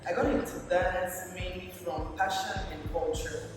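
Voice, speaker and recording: A woman's voice: on the low side, unhurried. A woman, a movement therapist who chats casually in an interview and drops in "like" and "yo". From Cameroon. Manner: relaxed and chatty, drops in "like" and "yo". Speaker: movement therapist and yoga instructor. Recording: echoey hall, distant mic, music behind.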